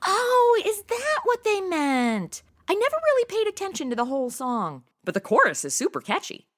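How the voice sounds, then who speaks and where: A woman's voice: very high-pitched, huge pitch swings, normal speed, a bit bright, slight background noise, full character voice. An actress playing a brash, flippant, clueless young modern party woman with slangy talk in a comedic audio drama.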